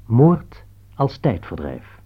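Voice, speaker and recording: A male announcer with a medium-pitched voice introduces a radio drama. His delivery is very deliberate and formal, and the old broadcast sounds thin and muffled. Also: huge pitch swings, breathy edge. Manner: formal, measured.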